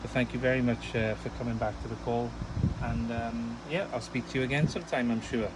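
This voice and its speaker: A man's voice: medium pitch, fairly even intonation, fairly quick, heavy background noise. A man chatting casually and at rambling length as an amateur radio operator. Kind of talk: casual ham radio chat.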